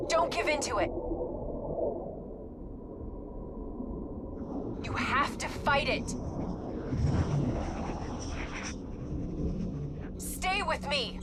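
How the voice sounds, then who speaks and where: An actress playing a focused military support operative in a video game, giving urgent, clipped guidance. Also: female voice, sits high, lively intonation, moderate pace, heavy background noise. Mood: worried. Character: focused female mission-support operative guiding soldiers. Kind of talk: cinematic military game acting.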